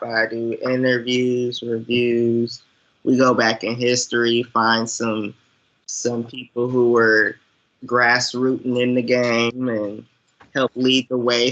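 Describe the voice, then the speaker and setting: A man with a bright, forward voice at a middling pitch speaks as a guest in a casual chat. He goes slowly and haltingly, reflecting aloud in winding thoughts. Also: lo-fi sound. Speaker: guest, online movie reviewer.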